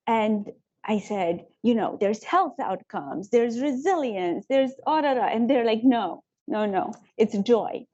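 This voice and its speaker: A woman from Romania, telling personal stories on a panel in an animated way. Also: mid-range voice, dull audio. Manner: warm, animated storytelling with frequent laughs. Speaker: company founder on a panel.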